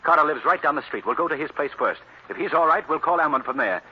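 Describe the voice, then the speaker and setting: Urbane, decisive amateur detective, very expressive pitch, on dull, slightly noisy vintage radio. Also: male voice, quick pace, husky. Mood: urgent. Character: decisive, analytical male gentleman sleuth. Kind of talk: 1940s radio mystery acting.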